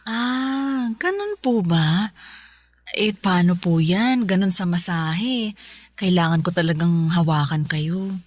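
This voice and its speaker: A woman's voice: on the low side, animated, slow pace, dry recording. A Filipino woman playing a polite, caring spa masseuse in a radio drama, chatty, deferential and reassuring. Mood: friendly, reassuring.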